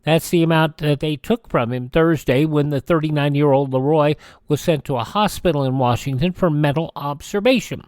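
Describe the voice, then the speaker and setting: An American man hosting a radio show, reading news items in a measured way with occasional stumbles and self-corrections. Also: fairly high pitch, darkish tone, no room sound, clean studio sound.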